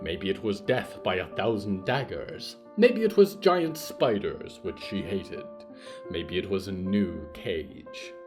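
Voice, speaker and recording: A man narrating scripted horror fiction in a measured, solemn, dramatic way. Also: deep voice, very animated. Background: soft plucked guitar music.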